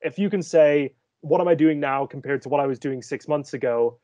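Young man, mellow voice, dull webcam sound. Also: a little high, fairly quick, no room sound. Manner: encouraging, uses "kind of". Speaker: history student panelist. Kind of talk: casual advice on video call. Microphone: laptop webcam on video call. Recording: dull, dry webcam audio.

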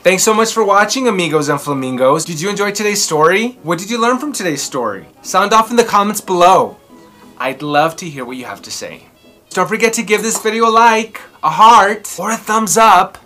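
A man in his thirties presents a children's storytime with theatrical emphasis, stretching key words. His pitch swings widely and his tone is bright, with some music behind him. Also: expressive, normal speed. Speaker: children's book read-aloud host. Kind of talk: expressive storytelling and chatty commentary for children. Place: small furnished room indoors.

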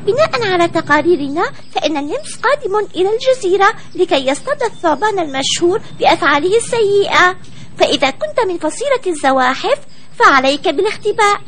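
Super high duck newsreader, earnest warnings, cartoon bulletin, bit of noise. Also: female voice, big pitch swings, fast, fairly bright tone, full character voice. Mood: urgent. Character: female duck television newsreader, earnest and urgent.

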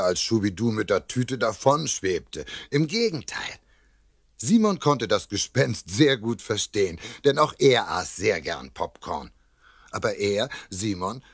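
A man's voice: on the low side, fairly bright tone, no echo, clean studio sound, full character voice. A man narrating a children's audio drama in a scripted storytelling style. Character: storytelling narrator and castle tour guide.

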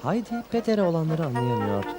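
A gentle narrator for a children's cartoon. His scripted delivery is fairly high for a man and very animated, set over clear music. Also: quick pace. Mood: storytelling. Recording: music behind the voice.

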